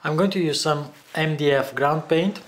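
A man in his forties giving a casual hands-on workshop tutorial, haltingly thinking aloud as he goes. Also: a little high. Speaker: DIY video maker. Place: indoor home workshop. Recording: echoey room, faint background noise.

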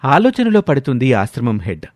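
A man's voice: medium pitch, wildly swooping pitch, moderate pace, a little mellow, dry recording. Dry-sounding male storyteller. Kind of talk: scripted story narration.

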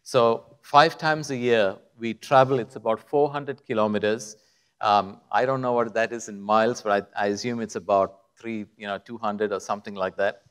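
A man's voice: on the low side, steady tone, moderate pace, fairly bright tone, clean tone. Man with a mixed Indian accent on stage. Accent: mixed Indian and Middle Eastern. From born in Kuwait to South Indian parents. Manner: friendly storytelling with light humour. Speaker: physician giving a testimony talk. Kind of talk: conference talk. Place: stage of a large auditorium. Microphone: podium mic.

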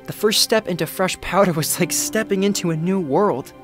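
A young man performing commercial voiceovers in a studio, with a hushed, intimate and measured delivery. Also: sits high. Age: young adult. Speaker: voice actor. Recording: music bed behind. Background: music beds.

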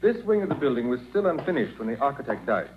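An actor playing a formal, fussy museum doctor in a 1940s radio drama, speaking precisely and politely. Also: male voice, mid-range voice, very animated, quick pace, lightly acted. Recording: muffled, faintly noisy 1940s radio recording.

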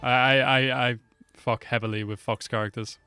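A young man in his twenties speaks into a close desk mic in a casual, slangy way, reacting as he watches. His pitch is middling, and game music plays faintly behind him. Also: little pitch movement, moderate pace, bright, forceful tone, clear, resonant tone. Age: 20s. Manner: slangy, laid-back, swearing. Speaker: reaction video host. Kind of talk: casual live reaction commentary. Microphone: close desk microphone with headset. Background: game trailer audio with music.

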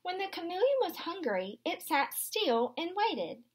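A woman in her twenties reads a children's picture book aloud like a kindergarten teacher. Her voice is high, with big swings in intonation. She explains things simply and expressively for little listeners. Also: very animated, unhurried, slight background noise. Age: 20s. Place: small furnished room indoors.

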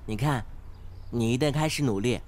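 A young man acting an earnest, polite, slightly naive studious teenage boy in an audio drama, gentle and encouraging. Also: mid-range voice, moderate pace, slight background noise, lightly acted. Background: background music.

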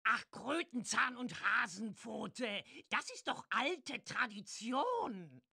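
A woman playing a boastful, mischievous old witch with a sharp, creaky voice in a children's game. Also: on the high side, big pitch swings, heavily acted. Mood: gleeful. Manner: cackling, theatrical, drawn-out delivery. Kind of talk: German children's audio-play acting in a game.

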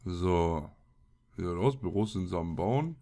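A man's voice: low-pitched, animated, unhurried, mellow. A man with a cold and a scratchy throat, giving casual, colloquial gameplay commentary as he mutters to himself. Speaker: let's play host.

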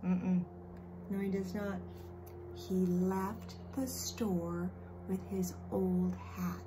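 A woman's voice: mid-range voice, fairly even intonation, drawn-out words, noisy background. A middle-aged American woman, a kindergarten teacher, talking expressively and simply to young children from her living room. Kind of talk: read-aloud and chat to young children.

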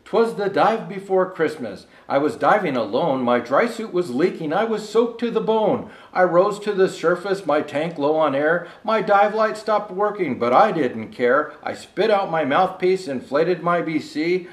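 An older man playing a jolly old Santa Claus, gently reading a rhyming storybook aloud with chatty asides. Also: fairly high pitch, expressive, unhurried, few pauses. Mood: storytelling. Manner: gentle storyteller, showing pictures to listeners. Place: indoor room set with fireplace and tree. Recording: echoey room.

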